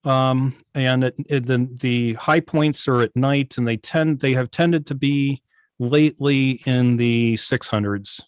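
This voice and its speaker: A man with a mid-pitched voice talks casually as an interview guest. He is halting and often searches for words, and the sound is dry with little room in it. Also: clear, resonant tone, no echo. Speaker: guest, internet old-time radio station operator. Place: indoors on a video call.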